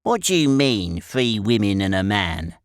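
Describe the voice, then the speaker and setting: A man's voice: on the low side, sing-song, measured pace, no room sound, clean studio sound. A man voicing a cocky, rude schoolboy scout with an English accent and a brash, scornful manner in a storybook reading.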